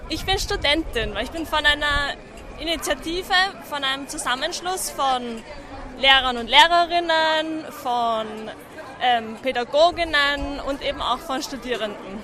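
A woman's voice: sits high, a little slow, music in the background. A young woman, a student activist giving earnest, carefully phrased answers in a street interview. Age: young adult. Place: outdoors at a demonstration. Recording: outdoor recording amid demonstration crowd.

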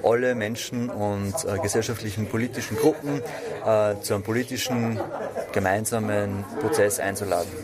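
Over clear crowd chatter, a man speaks in a low voice. He gives a spontaneous interview account, explaining a project step by step. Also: fairly even intonation, normal speed. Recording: busy crowd murmur behind.

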